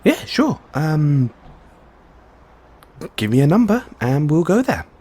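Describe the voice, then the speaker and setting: An excitable, eccentric mad scientist boyfriend, acted by a man, talks straight to the listener in an audio roleplay. His husky voice takes its time as he muses, and it leaps and dips dramatically in pitch. The delivery is geeky and theatrical. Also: takes it slowly, full character voice. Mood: playful excitement. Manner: theatrical, geeky, hesitant musing between outbursts.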